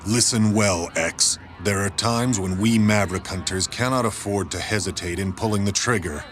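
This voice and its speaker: An actor playing a calm, authoritative male robot commander in a video game cutscene. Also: fairly low pitch, close to an everyday voice. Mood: stern. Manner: measured, commanding.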